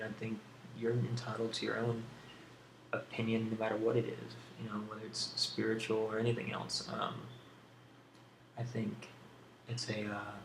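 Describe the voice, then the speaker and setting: A man hosting a casual ASMR question-and-answer video, speaking in a halting, rambling, hesitant way on an amateur home recording. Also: on the low side, gravelly, echoey room, a little hiss or hum, low-quality recording. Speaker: video host.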